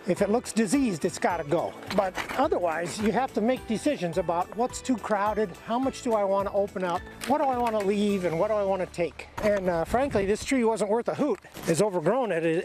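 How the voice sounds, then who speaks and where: Male American educator, notably high voice, folksy plain-spoken how-to delivery, music underneath. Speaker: extension educator, presenter. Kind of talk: instructional demonstration.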